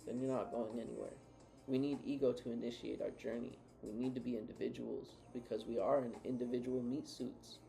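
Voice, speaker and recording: A man's voice: mid-range voice, steady tone, fairly quick, vocal fry, mellow, soft background music. A man co-hosting a casual talk, reflecting earnestly and giving advice. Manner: earnest, advising. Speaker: co-host.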